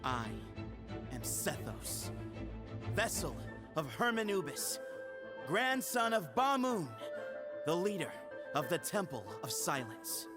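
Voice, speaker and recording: Cocky young temple leader. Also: male voice, high-pitched, sing-song, exaggerated voice. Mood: solemn, proud. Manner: self-assured, theatrical, deliberate phrasing. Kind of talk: animated video game voice acting. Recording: loud game music behind the voice.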